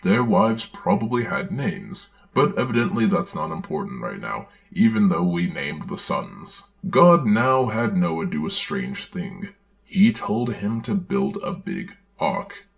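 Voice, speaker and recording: A man with a very deep voice reads stories aloud theatrically and adds dry, sarcastic commentary. His delivery swings widely in pitch and often drops into a gravelly creak. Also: sing-song, a little slow. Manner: theatrical, wry, sardonic. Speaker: host, storyteller. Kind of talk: dramatic reading with sarcastic commentary.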